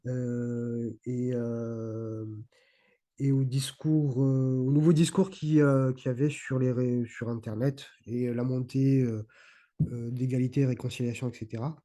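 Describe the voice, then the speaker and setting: A man's voice: medium pitch, fairly even intonation, unhurried, mellow. A middle-aged man from Provence, a guest talking casually in an interview, hesitant with many fillers and restarts. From Provence, France. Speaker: guest, YouTuber on esotericism.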